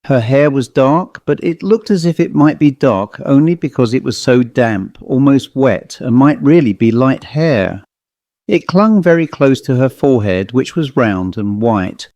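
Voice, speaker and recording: A man reads a ghost story as a scripted first-person narration. His voice is mellow and softly toned, captured close and dry. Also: mid-range voice, expressive, a little slow, no echo, highs cut off. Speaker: audiobook narrator.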